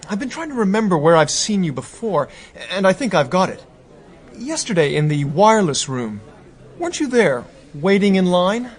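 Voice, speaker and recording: An actor playing an impulsive, excitable young American man who stammers when pressed. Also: sits high, normal speed. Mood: eager. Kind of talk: radio drama acting.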